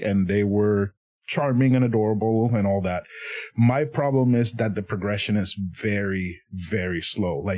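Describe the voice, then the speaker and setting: Man, casual and laughing. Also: on the low side. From Puerto Rico. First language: Spanish. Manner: laughs often, swears freely, animated. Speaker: podcast guest. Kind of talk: casual chat.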